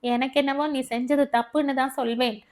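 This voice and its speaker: Woman voicing an anxious young woman, noticeably high. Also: fairly even intonation, moderate pace, natural voice. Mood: frank, reproving. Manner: urgent, concerned, questioning. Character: anxious, caring young woman comforting and questioning her friend.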